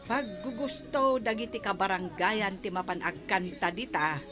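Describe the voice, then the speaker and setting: An actress plays a gossipy village woman in an audio drama. She sounds mildly disapproving in a middle-range voice, over clearly audible music. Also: mid-range voice, moderate pace, lightly acted. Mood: gossipy disapproval. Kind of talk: Ilocano radio-style audio drama acting. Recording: music bed behind the voice.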